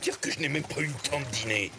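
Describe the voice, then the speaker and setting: A man's voice: medium pitch, quick pace, background noise, natural voice. A man acting whiny cowardly cartoon sergeant. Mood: self-pitying. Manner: whining, panicky, self-pitying. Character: whiny, cowardly, food-loving army sergeant, comic sidekick. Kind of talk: French cartoon dub acting. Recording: loud music behind the voice.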